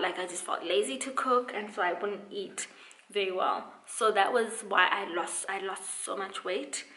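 A woman's voice: medium pitch, bright, forceful tone. A woman in her twenties chatting casually to camera, playful and emphatic, often repeating words for stress. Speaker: vlogger, dancer and dance instructor. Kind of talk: casual vlog chat to camera. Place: small room at home, indoors. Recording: roomy indoor echo.

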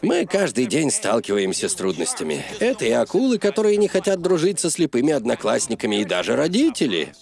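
Older cartoon teacher, hearty leader, creaky gravelly tone, hugely animated intonation. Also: male voice, mid-range voice, a little fast, hardly any pauses. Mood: encouraging. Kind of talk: cartoon dub acting.

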